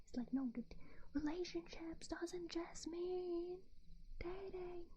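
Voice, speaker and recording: A woman streamer chatting casually in a soft, gentle, breathy ASMR whisper, close to a binaural microphone. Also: very high voice, fairly even intonation, takes it slowly, lo-fi sound, slight background noise. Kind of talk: whispered ASMR casual livestream chat with bits of singing.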